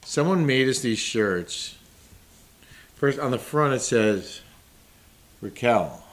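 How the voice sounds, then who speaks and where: A man's voice: mid-range voice, lively intonation, takes it slowly. Chatty male host plugging his show. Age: middle-aged. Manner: chatty, laughs often, reads viewer comments aloud. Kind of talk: casual chat and plugs.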